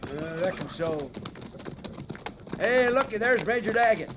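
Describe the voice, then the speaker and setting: A folksy cavalry trooper in an old radio western, high voice, noisy with horses clopping behind. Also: male voice, lively intonation, measured pace. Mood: grim, weary. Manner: folksy frontier talk. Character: folksy, stoic male cavalry trooper returning from battle. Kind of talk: classic old-time radio western acting.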